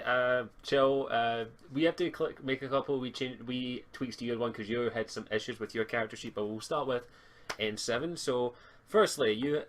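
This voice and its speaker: A man's voice: medium pitch, a little hiss or hum. Man hosting a tabletop roleplaying stream. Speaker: game master and stream host. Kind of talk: explaining tabletop game rules to players on a live stream. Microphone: voice call on a live stream.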